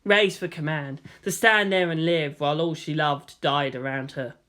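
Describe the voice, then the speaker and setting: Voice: medium pitch, steady tone, normal speed, sharp tone. A narrator reading fan fiction aloud as scripted storytelling.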